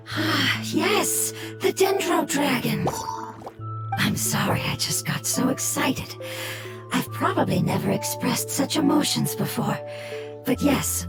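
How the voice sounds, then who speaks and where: An actress voicing a small, humble forest creature in a game, speaking gently and politely. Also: female voice, high voice, very animated, slow, over loud music, heavily acted. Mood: excited. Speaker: game character.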